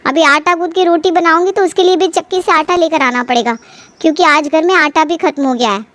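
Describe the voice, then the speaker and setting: A woman voicing a calm young daughter-in-law in a cartoon family story, patiently explaining and placating. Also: very high voice, brisk, dry recording. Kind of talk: animated family story dialogue.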